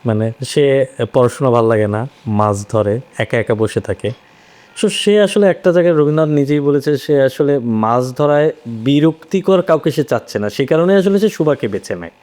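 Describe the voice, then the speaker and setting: A man's voice: medium pitch, animated, normal speed, a little mellow. A man in a conversational literary discussion, explaining things by posing questions and then answering them. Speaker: voice actor on a discussion panel.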